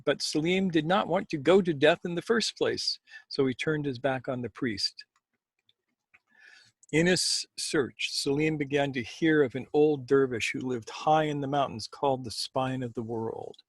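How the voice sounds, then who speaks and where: A man who co-hosts and tells stories, speaking in an expressive, measured way with dramatic pauses. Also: medium pitch, husky, dry recording. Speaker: storyteller and co-host. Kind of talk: expressive story reading.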